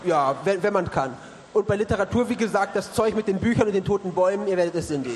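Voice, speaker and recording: A man's voice: high voice, quick pace, noisy background. A man hosting a live stage quiz, joking and bantering with the audience. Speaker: quiz show host. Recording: live hall with crowd and music.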